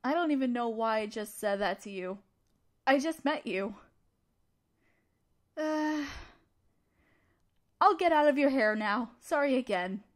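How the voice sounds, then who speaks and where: A woman's voice: on the high side, animated, unhurried, long pauses. A woman playing an awkward, flustered young student council president in a romantic audio roleplay, with a hesitant, earnest delivery. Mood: vulnerable, earnest.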